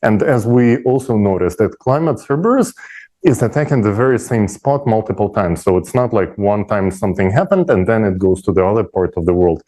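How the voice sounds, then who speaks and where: A man with a fairly low, mellow voice talks in an unscripted panel discussion. His delivery is halting, with words repeated and sentences restarted as he works through his point. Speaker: panel speaker.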